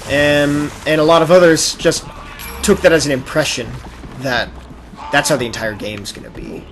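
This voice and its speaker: A man's voice: fairly high pitch, steady tone, moderate pace, a bit bright. A man hosting a gaming podcast, talking casually and explaining at rambling length while a video game is played, sometimes acting out imagined quotes. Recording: noisy amateur podcast recording with game audio. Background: video game sound.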